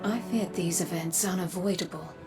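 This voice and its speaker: A woman playing a grave, cryptic seer in a video game, hushed and deliberate. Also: fairly low pitch, vocal fry, over music. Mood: grave, foreboding. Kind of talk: dramatic video game narration.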